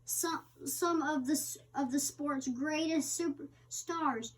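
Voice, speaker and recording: A woman with a high voice reads an interviewer's questions aloud from a magazine. She goes haltingly, stumbling over words, and sounds set back from the microphone. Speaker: co-host reading the interviewer's part. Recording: distant microphone.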